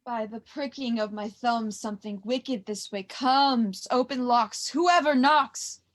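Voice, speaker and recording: A young woman playing an ominous witch in a Shakespearean radio play, speaking in a deliberate, chanting manner. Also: fairly high pitch, lightly acted. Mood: sinister chanting. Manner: incantatory, deliberate. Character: eerie, ominous witch chanting over cauldron. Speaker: student performer.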